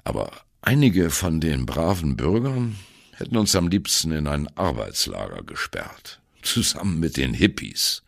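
An actor playing a wry, self-doubting ageing jazz drummer, telling his memories drily in a radio play monologue, with a gravelly, husky voice. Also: a bass voice, very animated, a little slow, heavily acted. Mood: dry, reminiscing. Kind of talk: radio play monologue, first-person narration.

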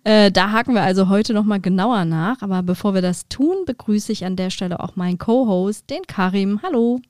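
A woman's voice: mid-range voice, fairly quick, dry recording. Upbeat woman hosting a podcast, dry sound. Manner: upbeat, lively welcoming tone. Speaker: podcast host.

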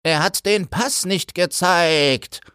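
A man voicing a greedy water rat in a storybook reading, with a high, demanding voice. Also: takes it slowly, bright, forceful tone, clean tone, no room sound, clean studio sound, exaggerated voice. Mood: shrieking. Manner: shrill and demanding. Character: shrill, grasping big water rat.

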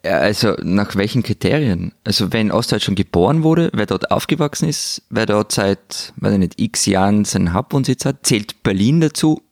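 A man's voice: lowish voice, animated, moderate pace, creaky, few pauses, no echo, studio quality. A man co-hosting a casual discussion, hesitant and thinking aloud with many questions. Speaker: podcast co-host.

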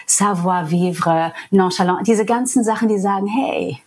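American woman from new york, english native, speaking german, a bit husky, open and thoughtful, laughs a lot. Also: mid-range voice, measured pace, a little mellow. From New York, USA. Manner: frank, reflective, laughs easily. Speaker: interview guest. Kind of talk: candid personal interview.

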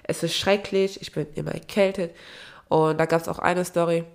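A woman's voice: on the low side, steady tone, normal speed. A young woman hosting her own podcast, chatting casually with a nasal, stuffy-nosed voice, apologetic and rambling with many fillers. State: stuffy nose from a cold. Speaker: podcast host. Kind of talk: casual solo podcast chat.

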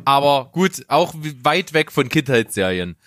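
A man's voice: medium pitch, big pitch swings, a little slow, a bit bright, dry recording, studio quality. A man chatting casually as a podcast host in a group conversation. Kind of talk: casual group chat about childhood TV.

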